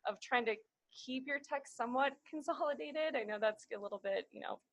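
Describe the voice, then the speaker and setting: A woman's voice: sits high, brisk, dry recording. Woman panelist in a dry recording. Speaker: marketing panelist. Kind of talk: casual professional discussion.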